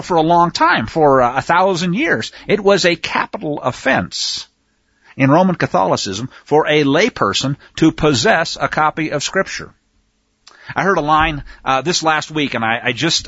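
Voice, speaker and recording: A man's voice: on the high side, a bit bright, slightly rough, no room sound, lo-fi sound. A man teaching from the Bible in a folksy, emphatic way, with sarcastic asides and frequent restarts. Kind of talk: folksy scripture commentary.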